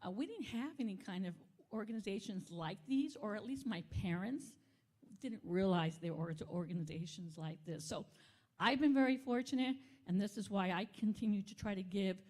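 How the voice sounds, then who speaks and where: A middle-aged woman, a vice mayor, giving hesitant remarks at a community event with many pauses and fillers. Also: medium pitch, a little mellow.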